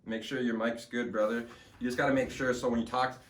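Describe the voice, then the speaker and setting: A man in his late twenties hosting a casual podcast in a small studio, talking in an energetic, rambling way and swearing casually. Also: mid-range voice, fairly quick, echoey room, far from the mic. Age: 20s. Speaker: podcast host.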